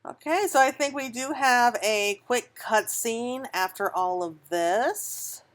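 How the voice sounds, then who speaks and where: A woman's voice: a little high, takes it slowly. A woman casually chatting and tossing out playful asides as she plays through a game. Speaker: gameplay streamer. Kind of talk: casual reactions while playing a game. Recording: game music behind the voice. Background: game music.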